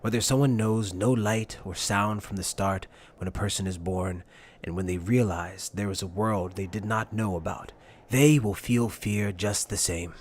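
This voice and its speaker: A man voices a solemn anime warrior captain in a low register. His delivery is grave, formal and measured, like a philosopher in arms. Faint noise sits behind him. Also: close to an everyday voice. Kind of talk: anime fan dub acting.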